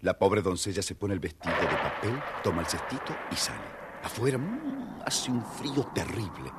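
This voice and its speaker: A man narrating a children's storybook in an expressive, theatrical way. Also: lowish voice, normal speed, very noisy.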